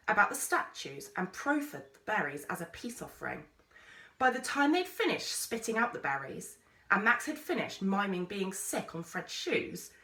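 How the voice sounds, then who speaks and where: A woman reading a children's story aloud with expressive, measured, dramatic phrasing. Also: medium pitch. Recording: roomy echo, distant microphone.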